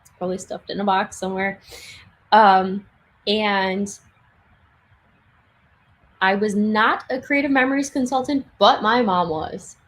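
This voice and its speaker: A young woman chatting casually on a livestream from her home craft room, laughing often and restarting sentences, her voice getting hoarse. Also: medium pitch, fairly even intonation, measured pace, long pauses, fairly bright tone. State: losing her voice. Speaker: livestream speaker.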